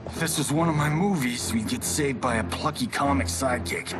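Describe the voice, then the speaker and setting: Man acting cocky movie star, loud music. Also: mid-range voice, fairly quick, close to an everyday voice. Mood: wry. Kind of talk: video game acting. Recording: loud cinematic music behind.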